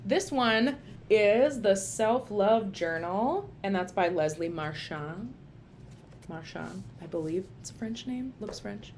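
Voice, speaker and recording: A woman's voice: medium pitch, animated, slow pace, darkish tone, slight background noise. A woman with a Minnesota accent, a therapist talking casually and informally in a home video. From Minnesota, USA. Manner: chatty and informal. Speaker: licensed social worker and therapist. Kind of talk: casual video book review. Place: home room used for telehealth sessions.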